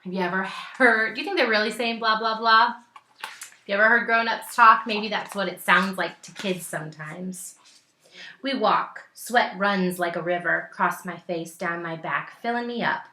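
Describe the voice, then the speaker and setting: Bright-voiced woman reading aloud, echoey room. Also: medium pitch, normal speed, a little hiss or hum. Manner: engaging, asks the listeners simple questions. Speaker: teacher reading a picture book aloud. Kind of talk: expressive children's read-aloud with asides to young listeners.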